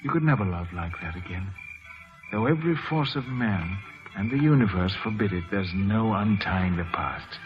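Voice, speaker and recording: An actor playing a brooding, bitter, passionate spurned lover in an intense, theatrical old radio drama style. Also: male voice, lowish voice, lively intonation, normal speed, lightly acted. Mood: anguished passion. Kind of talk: 1940s-50s radio drama acting. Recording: dull old radio broadcast with loud music.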